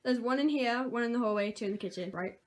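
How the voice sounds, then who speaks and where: A woman's voice: a little high, fast. A giggly woman making brief, casual interjections among friends at home. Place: indoors in a house.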